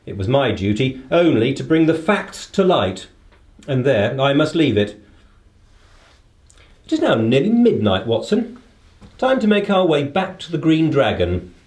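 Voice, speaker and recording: A man playing an incisive, authoritative gentleman detective in a radio play, precise, formal and dry. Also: mid-range voice, very animated, a little slow, long pauses, echoey room. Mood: stern, probing.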